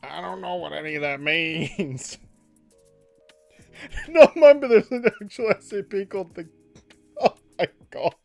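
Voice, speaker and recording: A man casually chatting on a livestream while he draws, reading out chat messages, laughing often and jumping up in pitch for jokes. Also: lively intonation, measured pace, creaky, long pauses, a little mellow, no room sound, faint music behind.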